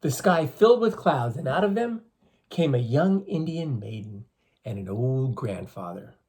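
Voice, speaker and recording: A reader with a slightly husky voice, reading a picture book aloud to young children and explaining things as they go. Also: mid-range voice, animated, measured pace, darkish tone. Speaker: storybook reader for schoolchildren.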